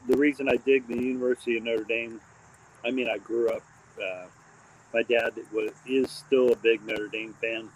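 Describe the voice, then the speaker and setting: A man's voice: medium pitch, a little hiss or hum, rough recording. A man hosting a casual live stream, rambling with lots of fillers and restarts, with crickets chirping behind him. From born in Texas, raised mostly in Indiana. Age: adult with a daughter. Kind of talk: casual rambling livestream chat.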